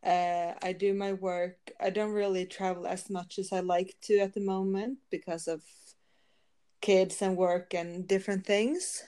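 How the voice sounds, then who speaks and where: A woman's voice: mid-range voice, steady tone, a little slow, dark tone, clean tone. A woman in her thirties from Norway, speaking English with a Norwegian accent as a podcast guest, easygoing with light laughs. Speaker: guest, yoga teacher and psychotherapist. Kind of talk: casual interview conversation.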